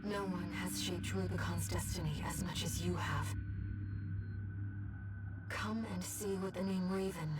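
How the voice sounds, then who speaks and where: A woman playing a calm, earnest disembodied companion who gives measured, solemn video game mission briefings. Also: on the low side, natural voice. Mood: solemn, resolute. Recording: distant voice under loud game music.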